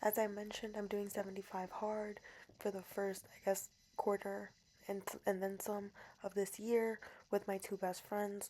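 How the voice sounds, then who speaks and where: A woman's voice: mid-range voice, warm tone, rough recording. A woman in her twenties from New Jersey with a New Jersey accent, Spanish her first language, chatting in a casual diary vlog voice-over with playful, emphatic repetition. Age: 20s. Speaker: vlogger.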